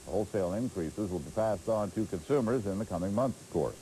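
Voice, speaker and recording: Mellow middle-aged male news anchor. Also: lowish voice, expressive, quick pace, low-quality recording. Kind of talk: scripted news reading. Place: television news studio. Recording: hissy worn VHS broadcast tape.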